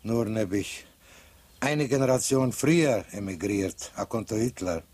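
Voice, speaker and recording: A man's voice: fairly low pitch, expressive, measured pace, a little mellow, no room sound. An actor playing an older, wry émigré man in a radio drama, colloquial and given to hesitant repetitions. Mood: wry, curious.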